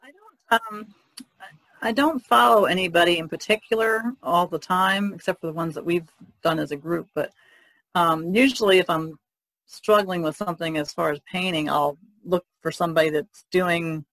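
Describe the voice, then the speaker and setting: A woman's voice: fairly low pitch, moderate pace, a bit bright, no echo, poor audio. A woman speaking as a podcast co-host in a casual, conversational way.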